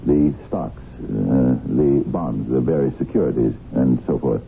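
Stammering, deep-voiced small-time lawyer. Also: male voice, creaky, heavily acted. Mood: hesitant, apologetic. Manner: stammering, halting, formal. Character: hesitant, flustered small-time lawyer, married man. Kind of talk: 1970s radio drama acting. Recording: tinny, noisy old radio broadcast.

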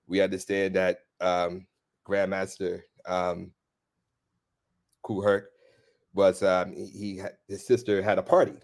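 A man's voice: sits low, flat delivery, slow, vocal fry, pauses often, a little mellow. A man from Washington, D.C., a hip-hop journalist leading an easygoing casual conversation. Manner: easygoing, leads the talk with frequent fillers.

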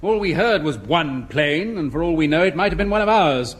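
Actor doing stuffy irritable bank inspector, high voice, very up and down, officious, old radio comedy. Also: male voice, lively intonation, brisk, natural voice. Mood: irritated. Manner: indignant, officious. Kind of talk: radio sitcom acting. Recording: slightly noisy old radio recording.

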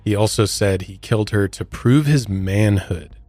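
A man's voice: lowish voice, normal speed, a little mellow, no echo, soft background music. A man hosting a podcast, moving between prepared narration and casual discussion. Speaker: true crime podcast host. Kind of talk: recounting a case, part scripted narration, part casual discussion.

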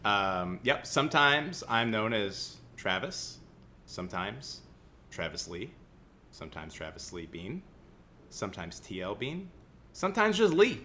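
A young podcast co-host with a Central Illinois accent, loose and playful, laughing easily. His voice is bright and sits a little low, with lively swings in pitch. Faint room ambience hangs behind him. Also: measured pace, a little hiss or hum. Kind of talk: casual podcast chat.

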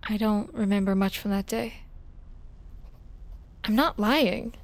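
A woman playing an anxious, defensive teenage girl in an audio drama, speaking haltingly. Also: mid-range voice. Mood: guarded, uneasy.

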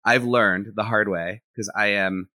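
A man, an animator and director, talking in a relaxed interview and thinking out loud with lots of "like" fillers. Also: fairly low pitch, unhurried, no echo, clean studio sound. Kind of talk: casual interview conversation.

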